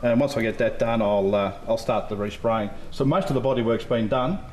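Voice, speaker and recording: A man's voice: mid-range voice, a little fast, darkish tone. Australian man, sixties, rambling and hesitant, workshop shed, heavy echo, background noise. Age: 60s. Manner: rambling, hesitant, laughs easily. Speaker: car collector. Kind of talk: casual interview chat. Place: large metal workshop shed, indoors.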